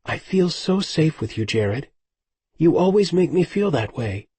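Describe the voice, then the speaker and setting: A man doing a breathy young woman in love. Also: fairly high pitch, very animated, unhurried, dark tone, dry recording, voice pushed up, exaggerated voice. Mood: tender. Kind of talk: audiobook romance reading.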